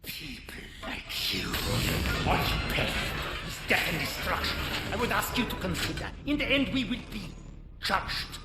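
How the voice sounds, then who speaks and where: A sadistic old German scientist from a game cutscene, speaking slowly and savoring each phrase. His fairly high voice swings wildly in pitch over loud music. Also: very animated, full character voice. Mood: grandiose. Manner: theatrical, gloating, savoring his words. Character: sadistic elderly German Nazi scientist villain. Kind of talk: video game cutscene acting.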